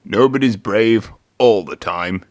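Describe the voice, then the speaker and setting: A man's voice: fairly low pitch, slow, a little mellow, no echo. A man voicing a sensible, sympathetic steam engine with dry humour in a storybook. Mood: sympathetic, sly.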